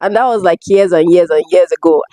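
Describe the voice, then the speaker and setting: Low-voiced young Nigerian woman, chatty. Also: brisk, mellow, no room sound. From Lagos, Nigeria. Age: young adult. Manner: chatty, informal, emphatic repetition. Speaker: vlogger answering viewer questions. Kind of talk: casual chat to camera.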